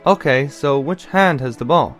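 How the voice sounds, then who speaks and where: A man playing a caring, bookish older brother in an audio drama, explaining things in a measured, slightly formal way. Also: mid-range voice, a bit bright, soft background music, close to an everyday voice. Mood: knowing, patient. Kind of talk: anime-style audio drama acting.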